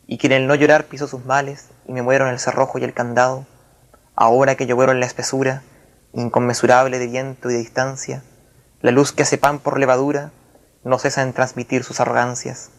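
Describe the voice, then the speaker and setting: A man talks like a writer in a thoughtful interview. His voice is creaky and stays within a narrow, even range. His phrasing is formal and literary, delivered haltingly as he searches for the exact word. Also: mid-range voice. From Chile. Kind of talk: reflective literary interview with recited verse.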